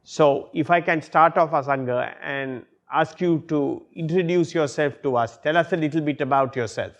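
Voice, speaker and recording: A man hosting a policy interview, asking polite, measured questions over a video call. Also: a little high, highs cut off. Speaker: interview host.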